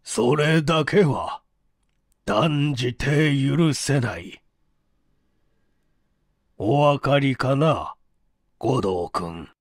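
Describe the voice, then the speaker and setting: A man voicing a frail, stubborn, imperious old invalid in an audiobook, speaking slowly and haltingly with a flat, toneless delivery. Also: mid-range voice, dry recording, studio quality, heavily acted. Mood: grave, weary. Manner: slow, halting, weighty speech. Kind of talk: dramatic audiobook character reading.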